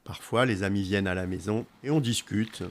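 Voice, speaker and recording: An actor playing a laid-back, gentle father in an audio fiction monologue, speaking in a hesitant, dreamy way. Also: fairly low pitch, brisk, natural voice. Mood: gentle, relaxed. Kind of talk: intimate self-portrait monologue in audio fiction.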